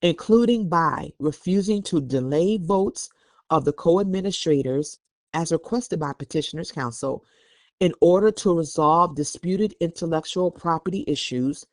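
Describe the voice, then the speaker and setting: A man's voice: on the high side, measured pace, dry recording. A man hosting a podcast, reading documents aloud and giving opinionated, conversational commentary. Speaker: podcast host.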